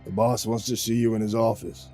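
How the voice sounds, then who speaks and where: A man voicing a huge, surly mob goon with a gruff growl and curt, slangy speech in a narrated story. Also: on the low side, level tone, brisk, a little mellow, soft background music, pitched below the performer's own voice, natural voice. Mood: accusatory. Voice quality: growling, grunting.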